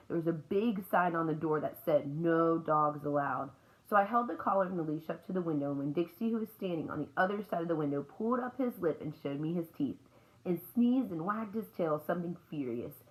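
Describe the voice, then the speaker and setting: A woman reading a children's book aloud as an expressive storyteller. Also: sits low, low-quality recording.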